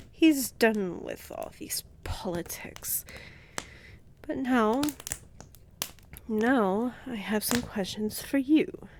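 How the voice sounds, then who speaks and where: A woman playing a haughty female vampire noble in an audio roleplay, menacing and seductive, imperious and teasing, with breathy whispered drops. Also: fairly high pitch, a little hiss or hum. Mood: smug, composed. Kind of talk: dramatic audio roleplay acting. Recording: echoey hall-like reverb.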